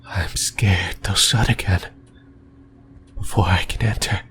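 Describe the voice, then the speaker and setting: A man voicing a creepy, possessive, lonely sleep paralysis demon in a whispered ASMR roleplay, breathy and halting, close to the mic. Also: sits low, steady tone, fairly bright tone. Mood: uneasy musing. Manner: slow, halting, rambling, intimate musing. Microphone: close microphone.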